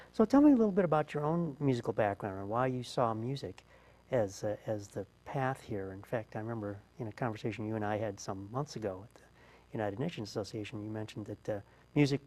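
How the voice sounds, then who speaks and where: A man's voice: medium pitch, expressive, a little fast, hardly any pauses, a little mellow, low-quality recording. A man hosting an interview, asking questions conversationally and thinking aloud as he goes. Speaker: interview host.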